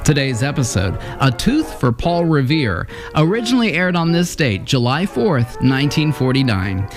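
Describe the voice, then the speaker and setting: A man hosts with scripted narration about an old radio program. His voice is medium-pitched, with music in the background. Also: big pitch swings, moderate pace. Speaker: channel host narrator.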